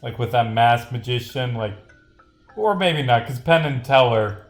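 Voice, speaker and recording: A man's voice: mid-range voice, lively intonation, unhurried. Male game developer on stream, off-the-cuff and rambling; roomy sound over music. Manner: rambling, thinking out loud. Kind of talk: casual livestream commentary, reading script lines aloud.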